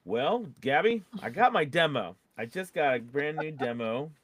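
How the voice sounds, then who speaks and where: A man's voice: fairly high pitch, animated, normal speed, fairly bright tone, dry recording. A man co-hosting a casual livestream chat, speaking in an informal, enthusiastic way. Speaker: host.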